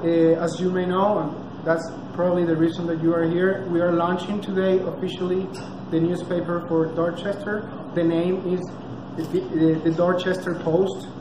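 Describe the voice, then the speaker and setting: A Venezuelan man with a high, flat voice reads a prepared speech off a clipboard, pausing often on uh and restarting words. He stands in a small, echoey cafe, caught by a camera some distance off, with clear room noise behind him. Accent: Venezuelan Spanish. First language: Spanish. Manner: careful, halting, reads from a clipboard, frequent uh and restarts. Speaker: nonprofit founder giving a launch speech. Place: small cafe with big street windows, indoors. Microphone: far camera.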